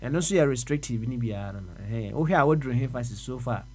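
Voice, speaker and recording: Brief: male radio host, mid-pitched, musing, questioning. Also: animated, fast talker, darkish tone. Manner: reflective, mixes English and Twi, asks probing questions. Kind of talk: casual radio discussion.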